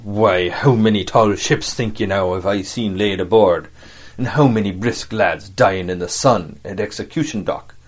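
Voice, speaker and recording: A man's voice: on the low side, natural voice. A man voicing a sly, scornful, commanding sea cook for an audiobook, in speech with emphatic rustic phrasing. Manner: rustic sailor talk, emphatic, drawn-out delivery. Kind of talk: audiobook character acting.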